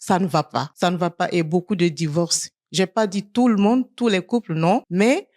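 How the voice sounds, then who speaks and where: A woman from Côte d'Ivoire speaks as a studio panel guest. Her voice sits low and sounds dry, and she makes her points earnestly, repeating them for emphasis. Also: moderate pace, darkish tone, dry recording. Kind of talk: panel discussion. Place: studio set.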